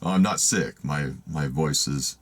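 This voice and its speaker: A man with an extremely deep, raspy voice talks straight to the camera. He rambles and hesitates, circling back and restarting his sentences. He is from the United States. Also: slow pace. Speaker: van life vlogger. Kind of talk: casual talk to camera. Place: in a van.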